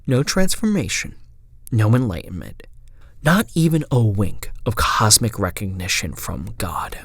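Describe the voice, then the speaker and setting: A man playing a haunted, dying survivor who gravely narrates his journal in a horror audio drama, deliberate and intense. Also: lowish voice, very animated, no room sound, heavily acted. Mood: horrified, intense.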